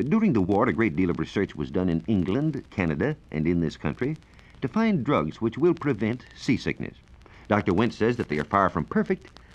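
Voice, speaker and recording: An American man working as a science reporter gives a formal, measured, scripted explanation in the style of 1940s educational radio. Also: fairly low pitch, a little mellow. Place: radio studio. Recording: dull, lo-fi 1940s radio recording.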